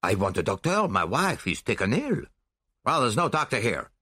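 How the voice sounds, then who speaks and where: A man's voice: medium pitch, very animated, normal speed, pushed and bright, no room sound, clean studio sound, full character voice. A man voicing a harsh but nervous male householder in a mystery audiobook. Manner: gruff, nervous. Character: harsh, uneasy householder in a dressing gown.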